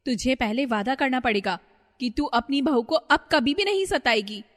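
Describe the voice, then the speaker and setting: A woman's voice: sits high, expressive, normal speed, in a large hall, voice pushed up. A woman voicing a defiant, scheming young daughter-in-law in a cartoon story. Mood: fierce. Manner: sharp, shouting.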